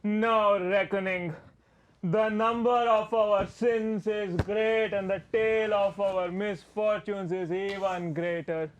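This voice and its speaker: A woman's voice: medium pitch, fairly even intonation, slow, muffled recording, close to an everyday voice. A woman playing a despairing, whiskey-craving traveling actor in a stage play, with a lamenting, melodramatic delivery. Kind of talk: theatrical stage acting. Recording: slightly noisy stage-play recording with clicks.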